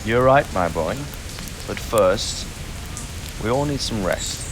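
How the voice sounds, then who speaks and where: An actor playing a steady, fatherly and commanding medieval lord in a dramatic game cutscene. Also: lowish voice, noisy background. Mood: reassuring. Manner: measured, authoritative, encouraging.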